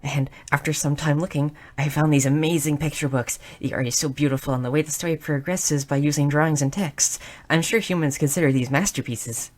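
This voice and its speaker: Woman voicing a low-voiced, eager, nerdy goblin girl. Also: fairly quick, few pauses. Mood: earnest, fascinated. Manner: excitable, inquisitive, slightly stammering. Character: shy, bubbly, bookish young goblin girl, eager and curious. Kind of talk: scripted audio roleplay addressed to the listener.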